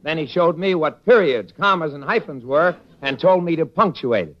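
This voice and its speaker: A man's voice: a little high, lively intonation, normal speed, close to an everyday voice. A man playing an earnest baseball figure putting on refined literary airs in a 1940s radio comedy. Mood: earnest, proud. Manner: proudly explains trivia with mock gentility. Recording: muffled, faintly noisy 1940s radio recording.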